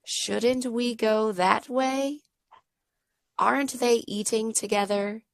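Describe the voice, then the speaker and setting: A slightly hoarse woman leads an online English lesson for a child, modeling each sentence slowly and clearly. Her voice has a gravelly, creaky texture. It sits close and dry, as if picked up by a video-call microphone. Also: a little high, no room sound. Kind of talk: patient teaching over a video lesson.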